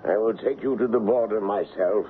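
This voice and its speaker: Man acting grave low-voiced loyal colonel. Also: normal speed, lightly acted. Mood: grave, admiring. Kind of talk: 1970s radio drama acting. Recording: dull, hissy old radio recording.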